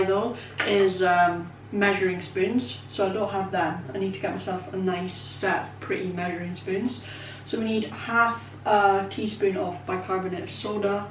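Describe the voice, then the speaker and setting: A woman's voice: mid-range voice, far from the mic, a little hiss or hum. A young Scottish woman in her twenties chatting casually in a home kitchen as she bakes, rambling and self-deprecating with lots of ums and likes. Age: 20s. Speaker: vlogger. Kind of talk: casual baking vlog chat. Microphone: camera set up away from her. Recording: echoey kitchen, voice from off-mic.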